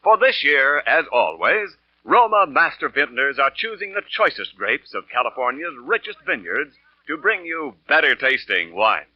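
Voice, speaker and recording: A man's voice: mid-range voice, big pitch swings, moderate pace, no room sound. A man with an American accent reading a scripted 1940s radio commercial as the announcer. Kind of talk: 1940s radio advertising read. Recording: muffled old radio recording.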